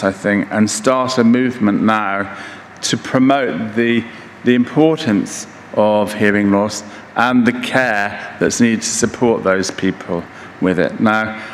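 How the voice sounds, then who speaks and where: A man in his sixties giving a conference keynote lecture in a measured, halting way, pausing mid-phrase. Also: on the low side. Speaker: keynote speaker. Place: large conference hall stage, indoors. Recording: echoey hall with reverb, background noise.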